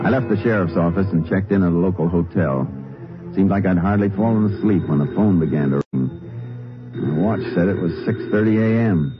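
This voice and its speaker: An actor playing a shrewd, stern Texas Ranger in 1950s radio drama, with a clipped, authoritative manner. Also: male voice, low-pitched, fairly quick, over music, natural voice. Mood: narrating calmly. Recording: muffled, faintly noisy old radio recording.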